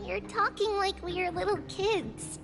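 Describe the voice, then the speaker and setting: A woman's voice: very high voice, fairly even intonation, a little slow. A woman voicing a small girl prophet in a video game, childlike yet solemn and caring. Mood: wistful, concerned. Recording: game music under the voice. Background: game music.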